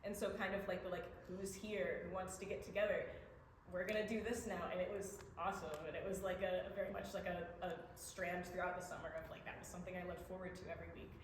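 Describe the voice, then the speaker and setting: A woman's voice: on the low side, fairly even intonation, quick pace. A young woman answering questions as a playwright in a casual, hesitant, think-aloud way. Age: young adult. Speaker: student playwright. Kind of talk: casual post-show Q&A answers. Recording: very echoey room, faint background noise.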